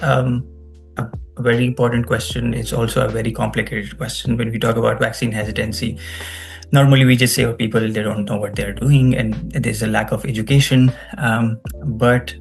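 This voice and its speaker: A man's voice: fairly low pitch, gravelly. A man with a Pakistani accent speaking as an interview guest, explaining things conversationally and checking in with "right?". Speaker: guest, global health researcher.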